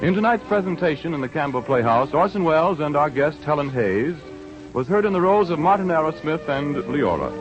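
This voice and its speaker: A man's voice: on the high side, big pitch swings, a little fast. An American man announcing on 1930s radio, reading scripted copy in a polished, emphatic salesman's manner. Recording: dull vintage radio broadcast, music behind.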